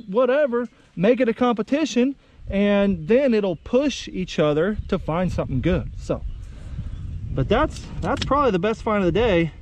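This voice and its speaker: A young American man chatting casually to viewers in a folksy, excitable way while out on the water. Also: high voice, no echo. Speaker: vlog host. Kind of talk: casual outdoor vlog chat. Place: outdoors on a kayak under a bridge. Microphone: action camera. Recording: muffled action camera audio with outdoor noise.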